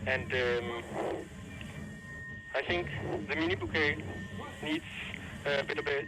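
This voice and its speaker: A man giving an improvised radio report from outdoors, halting as he searches for words. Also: a little high, music in the background. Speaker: field reporter. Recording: noisy outdoor field ambience. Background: outdoor background noise.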